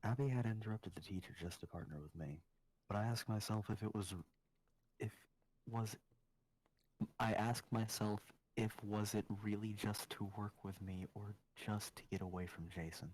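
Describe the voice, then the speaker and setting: A man reading fan fiction aloud, tripping over the clumsy wording with deadpan asides. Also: lowish voice, normal speed, rough recording. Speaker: reader in a group reading.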